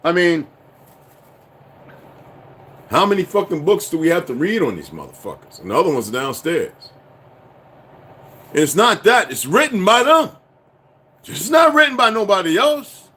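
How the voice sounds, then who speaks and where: A man's voice: sits high, very animated, normal speed, long pauses, bright, forceful tone, slightly rough. A man delivering a profane, preachy, insistent monologue to his viewers. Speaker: commentator.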